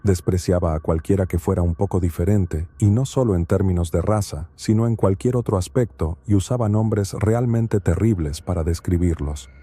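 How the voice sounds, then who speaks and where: Male narrator, low and very dark voice, steady measured read for a horror story channel. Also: breathy edge. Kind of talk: scripted first-person narration.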